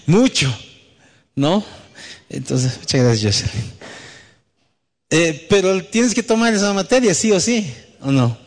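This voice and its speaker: A man's voice: high voice, animated, slow, long pauses. A man, a pastor, preaching conversationally with anecdotes, often putting rhetorical questions and little tag questions to his listeners. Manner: acts out quoted dialogue. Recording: echoey hall with long reverb.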